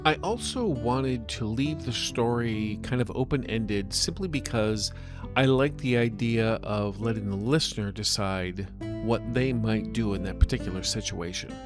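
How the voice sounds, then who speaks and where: A man's voice: on the low side, a little slow, over music. A man speaking as a story author and podcast narrator, giving an informal note and outro.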